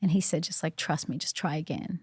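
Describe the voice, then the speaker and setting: A woman's voice: low-pitched, a little fast, slightly rough, no echo, clean studio sound. A woman guest on a podcast, telling personal faith stories in an earnest, chatty way, full of "like" and "you know". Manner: earnest and reflective. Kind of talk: casual faith storytelling.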